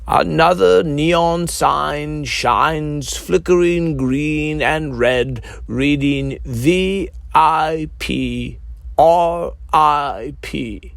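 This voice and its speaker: Slow, measured man narrating a macabre tale. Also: fairly high pitch, gravelly, fairly bright tone, no echo. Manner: deliberate, dramatic storytelling with measured pauses. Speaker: author reading own script. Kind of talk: scripted dark comedy narration, audiobook reading.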